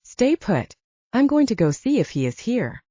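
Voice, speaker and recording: A woman's voice: on the high side, very animated, moderate pace, dark tone, slightly rough, no echo, pitched above the performer's own voice. Woman narrator doing a smirking confident young guy in an audiobook romance, teasing, dry slightly dull recording. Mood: commanding. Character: smirking, self-assured young man, teasing love interest.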